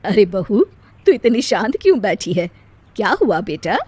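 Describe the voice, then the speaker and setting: A woman's voice: medium pitch, sing-song, normal speed, a little mellow, slightly rough, no echo. A woman voicing an older, matronly mother-in-law in a cartoon moral story, motherly but sly and emphatic.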